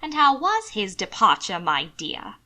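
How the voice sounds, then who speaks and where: A woman's voice: medium pitch, wildly swooping pitch, unhurried, bright, forceful tone, exaggerated voice. A woman voicing a tart, sniffing old aunt with a dry, arch manner.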